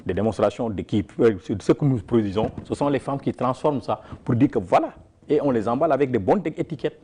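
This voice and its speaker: A man answers interview questions in a mellow, warm voice. He talks with emphatic repetition and frequent restarts. A faint hiss sits behind him. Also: medium pitch, animated, fairly quick. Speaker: farmers' organization leader.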